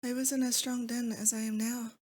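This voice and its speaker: A lovesick demon lord , acted by a woman, speaks in a high, intimate voice. She is doting and possessive, with sweet menace under every word. The delivery is theatrical romantic ASMR roleplay, close and confiding. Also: level tone, normal speed, darkish tone, close to an everyday voice. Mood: tender, longing.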